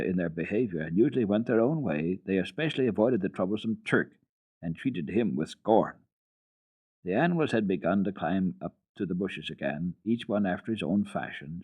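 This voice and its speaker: A man giving an expressive dramatic reading of a children's book on the radio. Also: on the low side. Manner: expressive, lilting storytelling. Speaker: radio storyteller. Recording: tinny old radio recording.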